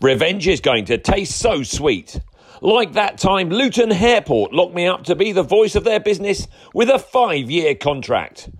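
A man's voice: high-pitched, big pitch swings, unhurried, breathy edge, exaggerated voice. Man acting a pompous villain with swooping voice. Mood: gloating. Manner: pompous, theatrical. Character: vain villainous kidnapper, a radio voice-over man obsessed with revenge. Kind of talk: amateur comedy audio drama acting.

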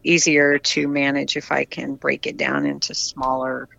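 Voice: medium pitch, monotone, measured pace, vocal fry, sharp tone, no echo, lo-fi sound. A retired cyclist in their sixties from the United States, chatting candidly in an interview with frequent restarts. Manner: chatty, candid, many restarts and repeated words. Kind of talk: casual interview answers.

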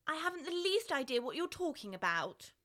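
A woman's voice: sits high, big pitch swings, brisk, fairly bright tone, voice pushed up. A woman voicing a polite, puzzled little girl in a storybook reading, speaking in an earnest, politely protesting way.

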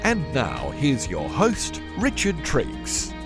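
A man's voice: mid-range voice, huge pitch swings, slow, gravelly, music in the background. A man reading the scripted opening announcement of a podcast with polished announcer delivery. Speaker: show announcer.

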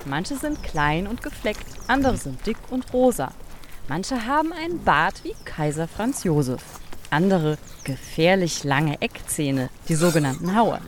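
A woman's voice: on the low side, sing-song, unhurried, slight background noise. A woman co-hosting a children's science podcast, playful and explanatory in a scripted audio play. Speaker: podcast co-host.

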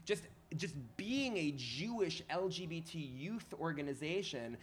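A man from New York whose first language was Hebrew, a podcast guest talking in an animated, casual way full of restarts and fillers. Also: a little high, a little slow. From New York, USA. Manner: animated, many restarts and fillers, laughs easily. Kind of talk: casual interview conversation.

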